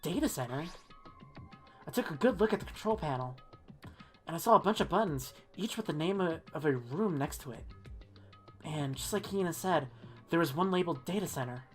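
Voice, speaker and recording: A woman's voice: sits low, animated, fairly quick, long pauses, faint music behind. A woman voicing an earnest, uneasy teenage schoolboy who narrates an amateur mystery game dub. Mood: puzzled, narrating.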